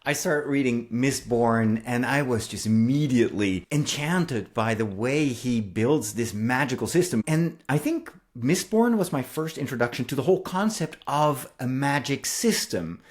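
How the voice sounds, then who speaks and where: A man's voice: mid-range voice, animated, measured pace, few pauses. A man co-hosting an enthusiastic podcast discussion about books, explaining things in an effusive way. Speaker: co-host and writer.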